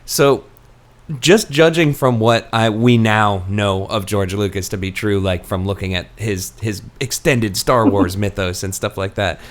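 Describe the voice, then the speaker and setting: A man's voice: on the low side, fairly even intonation, measured pace. Guy cohosting a funny book podcast, kinda rambly, chuckles while he talks. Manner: rambling, laughs through his sentences. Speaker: podcast co-host. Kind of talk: casual comedic book discussion.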